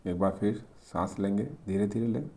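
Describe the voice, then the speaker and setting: A man leading a guided meditation, speaking calmly and gently in short phrases with pauses as he gives instructions. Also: lowish voice, slight background noise.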